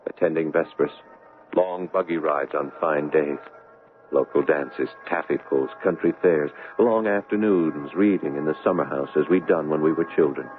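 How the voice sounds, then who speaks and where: An actor playing an earnest, troubled young doctor and devoted son in a 1970s radio drama. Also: male voice, extremely low pitch, soft background music. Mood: wistful narration. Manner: earnest, agitated, halting in dialogue. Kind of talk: 1970s radio drama acting with first-person narration. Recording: muffled vintage radio broadcast, narrow band.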